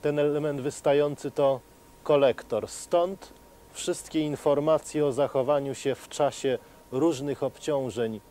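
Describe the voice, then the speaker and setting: Brief: middle-aged man, TV presenter, mellow tone, slightly high, measured explanations, faint background hiss. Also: fairly even intonation. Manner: measured, explanatory, with frequent hesitations. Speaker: TV science presenter. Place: outdoors at a helicopter test stand.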